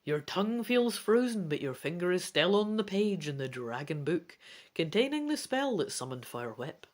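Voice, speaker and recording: Woman host, dramatic storybook reader with very animated pitch and chatty, self-deprecating asides. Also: normal speed. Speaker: host of a gamebook let's play.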